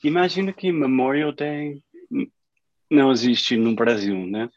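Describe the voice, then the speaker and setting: A man leading a language class over a video call, speaking slowly and encouragingly to the learners. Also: mid-range voice, animated, highs cut off. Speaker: language teacher running the class. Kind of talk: casual class conversation.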